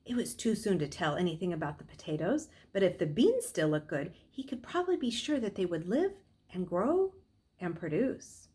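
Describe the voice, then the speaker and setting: A middle-aged woman reading a novel aloud as a storyteller, in expressive narration. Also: lowish voice, moderate pace. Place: small furnished room at home, indoors.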